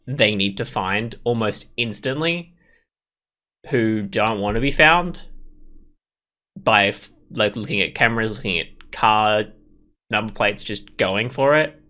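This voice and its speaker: A man hosts a visual novel playthrough in a fairly low, bright voice. He reads the text aloud and drifts into rambling, opinionated commentary. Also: expressive, unhurried, long pauses. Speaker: gameplay video host.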